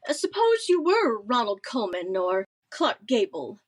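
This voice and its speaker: Poised, high-voiced glamorous film star, unhurried. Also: female voice, sing-song. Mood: cool, frank. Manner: deliberate, theatrical delivery. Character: poised glamorous film actress, coolly frank and melodramatic. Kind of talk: 1930s radio comedy acting.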